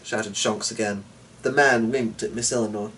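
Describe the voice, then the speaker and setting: A man's voice: on the low side, fairly even intonation, moderate pace, a bit bright. A man giving a dramatic narration of a storybook as its reader. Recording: distant mic with noticeable background noise.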